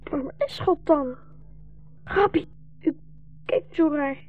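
A woman's voice: sits high, slow pace, no echo, faint music behind. A woman playing a young boy, anxious, devoted and trusting, with a childlike pleading manner in a 1960s radio drama. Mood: fearful, pleading. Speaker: radio play actor. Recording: muffled 1960s radio broadcast recording.

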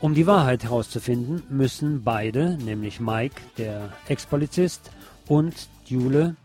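A mature man presenting a spoken review on a radio show in a measured, deliberate manner, addressing listeners directly. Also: mid-range voice, a little mellow, faint music behind. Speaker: radio host and series reviewer.

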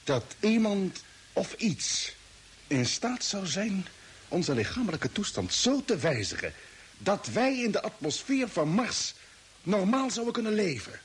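An actor playing an earnest space expedition commander in a science-fiction radio drama, talking in a questioning, hesitant way. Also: male voice, high-pitched, big pitch swings, unhurried, husky, full character voice. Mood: incredulous. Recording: hissy old radio drama recording.

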